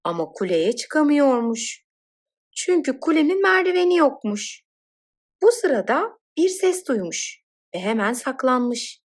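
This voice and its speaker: A woman narrating a scripted children's fairy tale in an expressive, dramatic storytelling manner. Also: high voice, unhurried.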